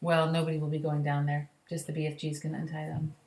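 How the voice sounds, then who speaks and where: A woman's voice: low voice, completely flat delivery, quick pace, a little mellow. Woman reading a storybook in an echoey room. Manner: explanatory, conversational asides. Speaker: read-aloud storyteller. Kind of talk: storybook read-aloud with explanatory asides to children. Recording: roomy indoor echo.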